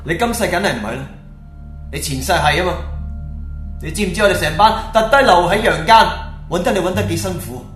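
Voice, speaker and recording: A vengeful ghost chief, played by a man in a radio drama. He declaims like a menacing commander in a higher male register, with roomy echo and music behind him. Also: fast, long pauses, fairly bright tone. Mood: taunting.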